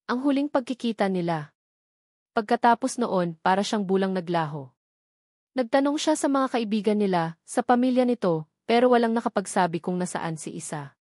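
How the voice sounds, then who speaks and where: Female narrator for dramatic romance fiction, mid-pitched, closely dry recording. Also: expressive, moderate pace, darkish tone, dry recording. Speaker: storyteller. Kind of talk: scripted dramatic narration of a Tagalog romance story.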